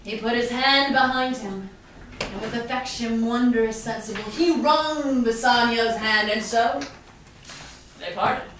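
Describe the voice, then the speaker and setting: A woman playing a gossiping gentleman in a Shakespearean staged reading, telling the tale with expressive emphasis. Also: on the high side, measured pace, pauses often. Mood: dramatic, affectionate. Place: live staged reading in a reverberant room. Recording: very echoey room, distant, faint noise.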